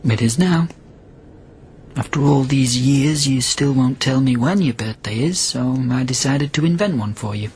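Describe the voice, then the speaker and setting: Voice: medium pitch, moderate pace, darkish tone, a little hiss or hum, close to an everyday voice. An actor playing a stubborn, needy, long-held captive in a radio drama, with intense, volatile delivery. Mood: playful, insistent.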